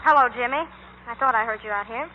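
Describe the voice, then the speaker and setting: A woman's voice: a little high, fast, a little hiss or hum, natural voice. An actress playing a frank, practical teenage girl in 1940s radio style. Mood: cool, hurt. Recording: muffled 1940s radio recording, music behind.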